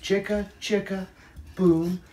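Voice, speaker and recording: A man's voice: high-pitched, level tone, slow, warm tone, slight background noise. A man voicing a playful, daring little alphabet letter from a storybook in a teasing, sing-song way. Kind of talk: animated storybook read-aloud. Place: indoors in a child's carpeted bedroom. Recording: echoey room.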